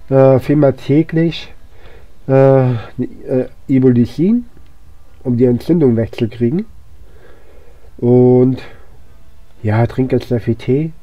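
Hoarse man rambling over gameplay. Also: medium pitch, no echo, highs cut off. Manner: rambling, many fillers and restarts. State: hoarse from a cold. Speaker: let's play gamer. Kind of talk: casual gameplay commentary.

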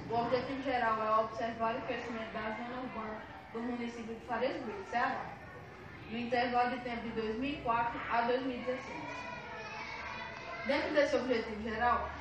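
A teenage girl steadily reciting the objectives of a school project, recorded by a camera across a classroom. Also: fairly high pitch. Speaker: student presenter. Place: classroom, indoors. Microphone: far camera. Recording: echoey room from far off, noise.

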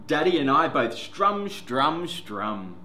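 Bright, expressive man reading to children. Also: fairly high pitch, slow pace. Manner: expressive, sing-song, addressing small children with simple words. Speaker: children's story time host and teacher. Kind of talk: picture book read-aloud for young children. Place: indoor classroom reading corner. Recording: roomy echo with faint background noise.

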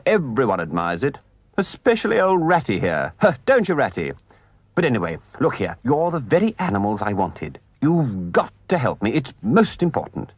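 A man voicing a boastful, excitable toad gentleman from a storybook, with a theatrical, effusive and pompous manner. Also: mid-range voice, moderate pace, no room sound. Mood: boastful, eager. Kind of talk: storybook character voice.

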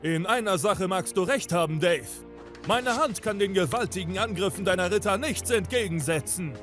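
A man's voice: high voice, lively intonation, moderate pace, a bit bright, soft background music. A man voicing a confident, solemn young card-duelling hero in an anime parody fan dub, with dramatic declamation. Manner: theatrical, declaiming.

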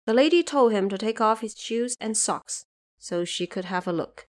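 A woman's voice: a little high, expressive, normal speed. A woman narrating an audio drama story from a script.

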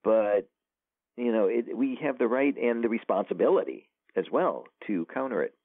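A male author as interview guest, talking in a rambling, animated way with many restarts over a phone line. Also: medium pitch, normal speed, no room sound. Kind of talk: casual interview conversation. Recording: tinny phone line, dry.

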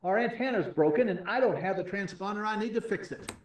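A man's voice: high voice, animated, quick pace. An actor playing a wary, unwelcoming small-town radio station man in a game radio drama. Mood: wary, dismissive. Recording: distant, echoey, noisy.

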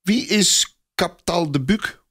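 A man voicing a small, eager, excitable boy in a storybook tale. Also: sits high, takes it slowly, pitched above the performer's own voice, full character voice. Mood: curious. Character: small eager boy, brave, admiring, wanting to join in.

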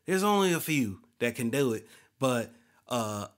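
A man's voice: mid-range voice, sing-song, measured pace. Man playing a folksy, boastful race car driver. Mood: casual. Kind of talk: comedic radio interview skit.

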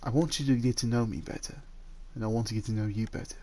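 A man playing a male dragon in human form, an eager, possessive suitor talking softly and haltingly to the listener in a roleplay audio drama. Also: fairly low pitch, steady tone, a little hiss or hum, lightly acted. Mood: tender, apologetic.

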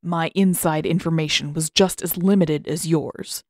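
A woman's voice: low-pitched, fairly even intonation, normal speed, darkish tone, dry recording. Storybook role: woman playing a disapproving old male master, cold and condescending, recorded dry.

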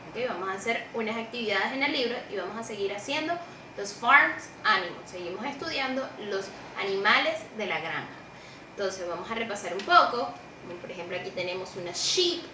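A woman's voice: medium pitch, animated, fairly quick, roomy sound, far from the mic, noisy background. A young woman teaching preschool children in an online class, speaking patiently and repeating each word in two languages with frequent "ok?" checks. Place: small room indoors, seated beside a monitor.

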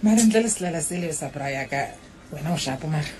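Low-voiced frank, supportive woman friend. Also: lively intonation, fast, crowd noise. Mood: concerned, supportive. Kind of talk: Setswana radio drama acting.

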